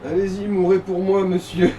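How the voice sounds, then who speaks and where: A man's voice: sits high, completely flat delivery, normal speed, warm tone. Casting: male gaming co-commentator, teasing slang, slightly distant from the mic, faint noise behind. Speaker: let's play co-commentator. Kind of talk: casual gaming banter.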